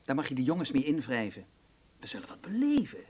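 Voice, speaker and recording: An actor playing a teasing, theatrical man of the nightlife in a radio drama, playful and flowery, with a husky voice. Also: mid-range voice, wildly swooping pitch, fast, darkish tone.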